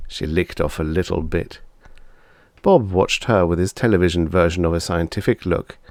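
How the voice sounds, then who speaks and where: A man's voice: low voice, normal speed, a little mellow, no echo. A man reading a short story aloud as scripted audiobook narration.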